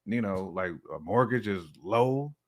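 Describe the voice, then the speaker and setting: A man's voice: on the low side, unhurried. A man, middle-aged, talking casually as a podcast guest, explaining his views in a reflective, colloquial way. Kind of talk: casual relationship discussion.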